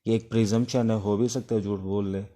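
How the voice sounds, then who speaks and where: A man with a Pakistani accent giving an informal exam-prep law lecture, explaining things step by step with hesitant pauses. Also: fairly low pitch, fairly even intonation, mellow. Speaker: law teacher. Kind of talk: informal exam-prep lecture in Urdu mixed with English legal terms.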